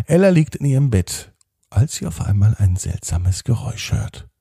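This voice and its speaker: A man telling a bedtime story for children as a podcast host, speaking deliberately with repeated phrases and drawn-out emphasis. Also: medium pitch, very animated, gravelly, warm tone, husky, dry recording, studio quality.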